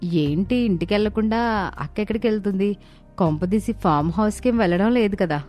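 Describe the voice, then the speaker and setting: Lady playing a sneaky young daughter-in-law in a cartoon tale, complainy and expressive, music behind. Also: medium pitch, normal speed, close to an everyday voice. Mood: scheming. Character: sly, food-loving young daughters-in-law, bickering and scheming. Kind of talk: dramatized moral-story cartoon acting.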